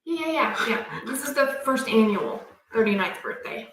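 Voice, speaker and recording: A talkative woman, chatting excitedly as a livestream co-host. Her voice sits a little high and sounds set back from the mic. The room is echoey. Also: moderate pace, crowd noise. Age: 30s. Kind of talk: casual chat on a homestead livestream. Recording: roomy, from a distance off the mic.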